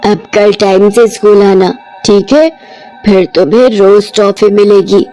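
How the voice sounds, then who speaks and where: A woman's voice: medium pitch, steady tone, unhurried, warm tone, soft background music, natural voice. Woman doing a kind witch schoolteacher. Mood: firm.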